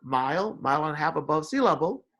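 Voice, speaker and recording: Higher-voiced man giving an informal lecture. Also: animated, quick pace, fairly bright tone. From Indonesia, moved to America at eight. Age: 40s. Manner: repeatedly checks with "right?", repeats key phrases for emphasis. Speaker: lecturer, science instructor.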